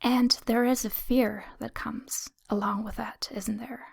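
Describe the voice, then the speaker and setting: A woman guides a meditation in a soft, whispery voice that is breathy and fairly high for a woman. She speaks very slowly in short phrases, leaving long pauses between them. Her delivery is gentle and soothing. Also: steady tone.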